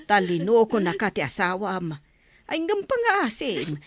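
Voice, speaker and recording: Woman acting a concerned, very expressive mother. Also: mid-range voice, a little slow, dry recording. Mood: dismayed, pleading. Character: concerned, sympathetic mother of a betrayed daughter. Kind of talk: melodramatic radio-style drama acting.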